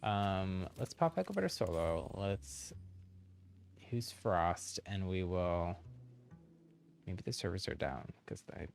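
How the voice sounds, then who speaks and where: A man's voice: sits low, lively intonation, slow, gravelly, long pauses, soft background music. A man chatting casually as he streams a video game, rambling and thinking aloud. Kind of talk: casual livestream chat while playing.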